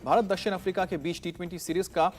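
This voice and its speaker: Indian guy reading news headlines really fast, faint music behind him. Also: high voice, steady tone, darkish tone. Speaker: news anchor. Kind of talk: scripted rapid-fire news headline reading.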